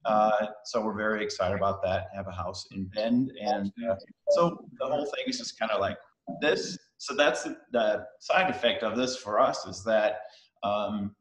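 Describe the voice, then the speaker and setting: A man's voice: on the low side, a little hiss or hum. Danish-born middle-aged man on a video call. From Denmark. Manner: casual, halting, many fillers and restarts. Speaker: guest speaker. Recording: roomy echo on a video call.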